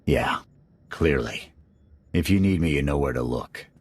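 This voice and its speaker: A man playing a blunt, gruff military squad commander in a video game. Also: very deep voice, animated, measured pace, no echo, heavily acted. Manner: gruff, blunt soldier talk.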